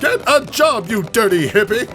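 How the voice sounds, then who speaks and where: A man's voice: high voice, expressive, moderate pace, bright, forceful tone, faint music behind, pitched above the performer's own voice. A man voicing a male farm pony who compulsively blurts blunt, scolding thoughts in an exasperated ramble. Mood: blunt, insulting.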